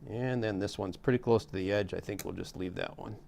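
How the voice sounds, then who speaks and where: A man's voice: fairly low pitch, fairly quick, vocal fry, darkish tone, slight background noise. A middle-aged man giving a casual, hands-on tutorial in a folksy, step-by-step way. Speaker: how-to host demonstrating a repair.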